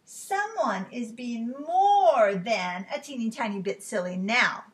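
Woman as a tiny storybook schoolteacher, firm, emphatic, slow and deliberate. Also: high voice, lively intonation, fairly bright tone.

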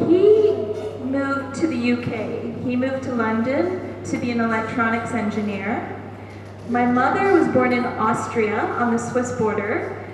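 A middle-aged woman with a Canadian accent, moderating a festival panel in a measured, friendly way, speaking into a handheld mic. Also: on the high side. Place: large echoing festival hall. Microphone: handheld mic, far camera. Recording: echoey hall, distant, background noise.

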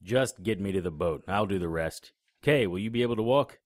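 An actor in an audio drama playing a cynical, sardonic, hard-boiled private investigator with a terse delivery. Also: male voice, on the low side, animated, brisk, no room sound, studio quality. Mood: urgent, terse. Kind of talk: audio drama acting with noir narration.

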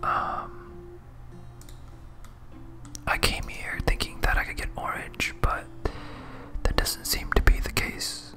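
Someone whispering softly and breathily in hesitant, rambling, self-deprecating ASMR commentary while playing a game. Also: slow pace, long pauses. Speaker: host, game streamer.

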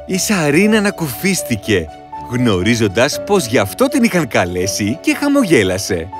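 Male fairy-tale narrator, mid pitch, extremely lively delivery, faint music behind. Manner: dramatic, highly expressive storytelling. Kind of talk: scripted storybook narration.

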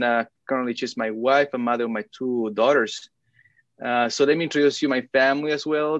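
A man in his thirties from Chile, giving an academic lecture with frequent hesitant filler pauses. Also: medium pitch, no echo, lo-fi sound. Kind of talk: academic presentation over video call. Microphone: video call.

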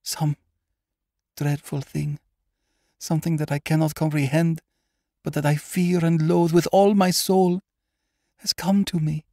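A man voicing a terrified, haunted aristocratic lady in a tremulous, breathy, dramatic manner for a storybook. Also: on the high side, measured pace, mellow, no echo, studio quality, voice pushed up. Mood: desperate pleading.

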